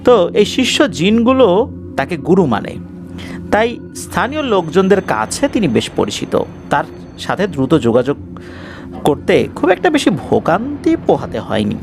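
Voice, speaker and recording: A man's voice: sits high, huge pitch swings, moderate pace, vocal fry. A man narrating a scripted ghost story in a dramatic storytelling style. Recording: music bed behind the voice.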